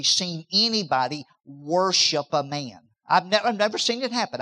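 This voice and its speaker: A man's voice: high-pitched, sharp tone. A man, preaching a sermon in an emphatic style, with repeated words and rising bursts. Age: 60s. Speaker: pastor.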